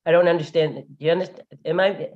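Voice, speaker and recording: A woman's voice: on the low side, steady tone, fast. Older woman, grandmother, flustered, apologetic, rambling, muffled call audio. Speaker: caller at a public meeting. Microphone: video call without camera.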